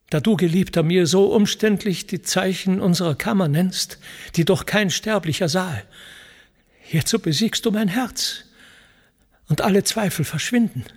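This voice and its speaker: A man's voice: high voice, breathy edge, big-room sound, heavily acted. A man voicing a noble, devoted queen, tender and anxious, in a solemn, emotive recitation of epic verse.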